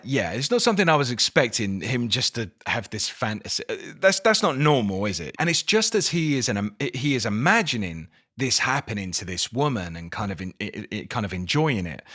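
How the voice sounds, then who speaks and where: An English man giving a casual spoken book review, expressive, with dramatic emphasis and incredulous questioning. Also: medium pitch. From England.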